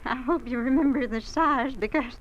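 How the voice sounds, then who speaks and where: An actress playing a protective, firm older farm wife, plain-spoken and homely, in an old-time radio drama. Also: female voice, high-pitched, moderate pace, highs cut off, close to an everyday voice. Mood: anxious, coaxing. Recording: noisy vintage radio drama recording.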